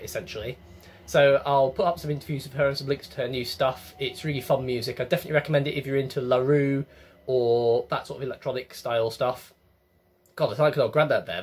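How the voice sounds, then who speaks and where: Young english guy doing a comedy vlog to his webcam, wry and chatty, bit husky, voice on the high side. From England. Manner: wry, chatty, self-mocking. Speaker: comedian, web series host. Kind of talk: comic monologue to camera. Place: small bedroom with bookshelves.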